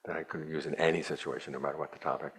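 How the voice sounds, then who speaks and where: A man with an extremely low, gravelly voice gives a dharma talk as a Buddhist monk teacher. His tone is very husky and breathy. He speaks plainly and at a measured pace, with a dry, wry edge. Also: little pitch movement, a bit bright. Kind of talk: dharma talk to a retreat group.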